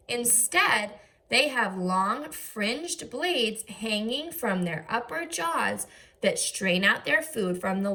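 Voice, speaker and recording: A woman's voice: medium pitch, pushed and bright. A woman teacher reading aloud to young children, enunciating carefully and adding short explanatory asides. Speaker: first grade teacher.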